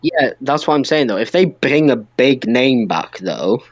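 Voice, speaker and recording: A man's voice: mid-range voice, lively intonation, a little slow, slightly rough, lo-fi sound. A man co-hosting a podcast, talking casually and informally about wrestling with other hosts. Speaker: podcast co-host.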